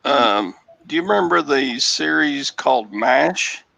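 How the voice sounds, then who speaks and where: A man with a Texan accent chatting casually on a video call livestream, joking dryly and reading aloud in a flat way. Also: mid-range voice, a little slow, vocal fry, no echo. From Texas. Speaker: reseller guest. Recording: dull lo-fi video call audio.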